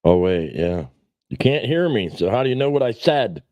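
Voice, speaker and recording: A man in his forties hosts a casual livestream in a very low, dark voice, recorded dry and close. He rambles bluntly, with salty language and self-deprecating asides. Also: sing-song, moderate pace, no room sound. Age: 40s. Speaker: livestream host.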